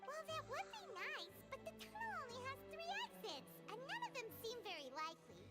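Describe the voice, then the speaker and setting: Very squeaky, tiny childlike sprite. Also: female voice, huge pitch swings, fairly quick, full character voice. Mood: puzzled, chatty. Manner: exclaiming, chatty. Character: tiny chatty childlike companion sprite, excitable and blunt. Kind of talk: animated video game dialogue. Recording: game audio under loud music.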